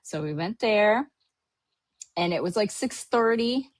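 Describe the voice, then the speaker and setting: A woman's voice: mid-range voice, animated, a little slow, dry recording, clean studio sound. A middle-aged woman from Hawaii, chatting casually as a podcast host, rambling with lots of fillers and restarts. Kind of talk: casual chat recapping a trip.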